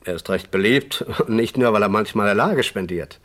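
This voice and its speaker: A man's voice: fairly low pitch, moderate pace, fairly bright tone, close to an everyday voice. An actor playing a plain-spoken, homely and slightly wary male country innkeeper in a radio crime drama. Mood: chatty, wary.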